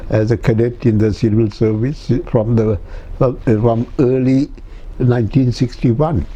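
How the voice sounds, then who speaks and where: A man's voice: mid-range voice, fairly even intonation, unhurried, warm tone, dull audio, a little hiss or hum. An older man from Sri Lanka, whose home language was Tamil, recalling his career in a life-story interview with many hesitations. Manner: reminiscing with many hesitations and "you see".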